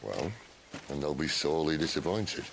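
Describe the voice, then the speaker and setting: A wry, world-weary rebel leader with a very deep, gravelly voice. His pitch rises and falls freely as he teases in a dry, unhurried way. It is video game dialogue. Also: animated, a bit bright, a little hiss or hum, full character voice, low-quality recording.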